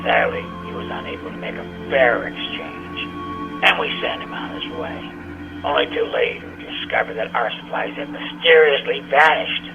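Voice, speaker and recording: A man playing a formal, coolly menacing alien assassin lord in a sci-fi audio drama, with measured, courtly threats. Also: lowish voice, huge pitch swings, vocal fry, muffled recording, music in the background, exaggerated voice. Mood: calm menace.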